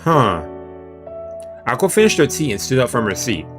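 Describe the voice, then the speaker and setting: A man's voice: mid-range voice, big pitch swings, moderate pace. A male streamer reading a visual novel aloud expressively, mixing narration with casual, playful reactions. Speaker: let's play streamer. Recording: music playing behind the voice.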